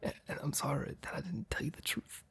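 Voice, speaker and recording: A man playing a guarded, earnest mob boss boyfriend in an intimate ASMR roleplay, speaking in a hesitant, breathy, husky whisper. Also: fairly quick, fairly bright tone, close to an everyday voice. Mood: apologetic, hesitant. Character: guarded, apologetic young male mob boss, boyfriend confessing.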